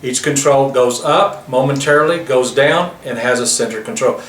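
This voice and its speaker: Man, product demo presenter, bright-toned voice, recorded from a distance with faint hiss. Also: medium pitch, normal speed. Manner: plain explanatory, walks through features step by step. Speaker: product demonstrator. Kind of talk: product demonstration. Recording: roomy, distant mic with faint noise.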